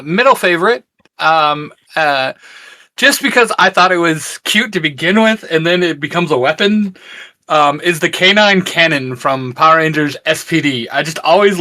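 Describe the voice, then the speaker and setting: A man's voice: on the high side, bright, forceful tone. A man co-hosting a casual, geeky fan discussion and talking through his own picks. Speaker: co-host giving picks. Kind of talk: casual geek chat.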